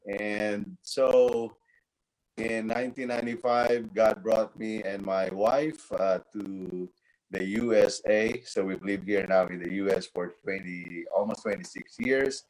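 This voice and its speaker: Lower-voiced pastor. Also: male voice, steady tone, a little slow, a little mellow, low-quality recording. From Philippines. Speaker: pastor working with church planters. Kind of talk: casual video-call chat.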